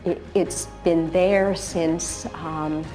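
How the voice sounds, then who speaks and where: A woman's voice: low-pitched, steady tone, drawn-out words, warm tone, faint music behind. A middle-aged woman sharing personal reflections in a hesitant, emotional way. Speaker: interviewee giving a testimonial.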